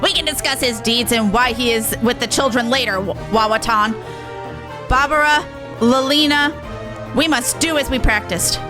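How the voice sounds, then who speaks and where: A woman's voice: a little high, moderate pace, music in the background, natural voice. A woman voicing a pompous, boastful leader of a small villain band in a game, theatrical and grandiose. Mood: haughty.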